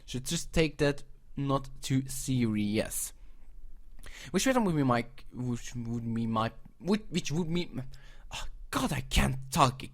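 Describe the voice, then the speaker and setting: A man's voice: medium pitch, very animated, slow pace. A young man with a German accent, a native German speaker, giving casual, rambling commentary and frank opinions on game projects, often correcting himself. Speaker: video reviewer.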